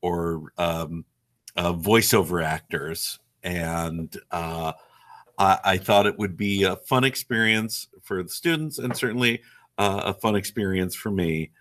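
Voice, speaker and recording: A man's voice: deep voice, measured pace, fairly bright tone. An older man speaking reflectively as a theater teacher on a video call. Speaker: high school theater director. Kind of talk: reflective interview talk. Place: cluttered classroom office.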